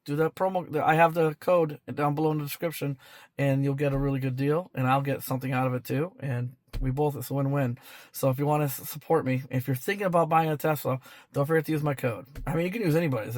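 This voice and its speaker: A man's voice: mid-range voice, steady tone, fast, darkish tone, no room sound, studio quality. A man getting over a chesty cold, talking casually and hesitantly to camera as a vlog host, often circling back and repeating himself. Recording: clear background noise, on-location ambience.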